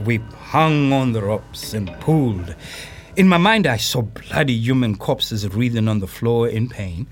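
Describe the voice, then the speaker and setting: A proud, tense circus troupe leader in an audio play. He tells his story vividly and urgently, with widely swinging pitch. Music plays beneath his voice. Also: a bit bright, heavily acted. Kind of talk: dramatic first-person monologue in an audio play. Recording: music under the voice.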